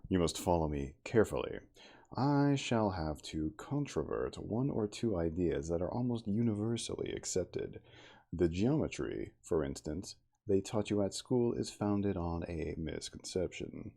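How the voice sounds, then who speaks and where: An earnest Victorian gentleman scientist, as a male reader plays him, lectures in a low, husky voice. He is didactic and deliberate, with animated swings of pitch, in the manner of an audiobook character. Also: full character voice. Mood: earnest, instructive. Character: earnest, learned Victorian gentleman inventor expounding a paradox.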